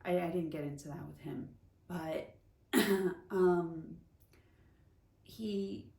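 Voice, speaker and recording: A woman in her forties with a fairly low, very mellow voice, telling personal stories casually and candidly. She repeats words for emphasis, and the recording sounds roomy and echoey. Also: very slow. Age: 40s. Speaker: storyteller, vlogger. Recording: roomy echo, faint background noise.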